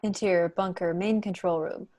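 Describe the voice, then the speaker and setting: A woman's voice: lowish voice, fairly even intonation, moderate pace, a little mellow, no echo. Young woman reading stage directions. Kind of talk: scripted narration at a table read. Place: room at home, video call.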